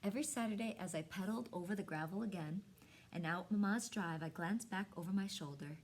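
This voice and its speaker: A woman reading a storybook aloud expressively, with dramatic pauses and hushed emphasis. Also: medium pitch. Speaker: storybook reader.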